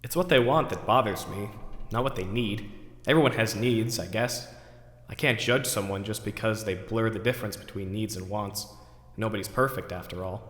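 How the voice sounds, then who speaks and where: A man's voice: lowish voice, normal speed, fairly bright tone, lightly acted. A man acting out the theatrical internal monologue of an overwrought, neurotic man who questions himself, recorded in an echoey hall. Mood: resigned. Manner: ranting, then talking himself down. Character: overwrought, neurotic man agonizing over forgotten groceries, self-questioning. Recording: echoey hall with long reverb.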